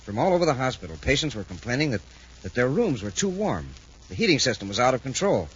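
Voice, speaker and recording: A man playing a distressed, apologetic hospital superintendent in a 1950s radio adventure, husky, earnest and halting. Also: mid-range voice, sing-song. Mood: flustered. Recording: dull 1950s radio recording.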